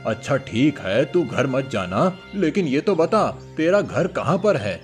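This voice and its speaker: A man's voice: mid-range voice, very animated, a little slow. A man voicing a patient, fatherly old cowherd grandfather in a cartoon story. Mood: puzzled. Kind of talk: Hindi cartoon moral story dialogue. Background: music.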